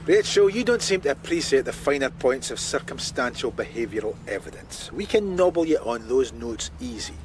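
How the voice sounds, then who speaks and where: An actor playing a sardonic middle-aged police detective in a radio crime drama, needling and teasing with dry sarcasm in a husky voice. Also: male voice, mid-range voice, sing-song, normal speed, hardly any pauses, a little hiss or hum, close to an everyday voice.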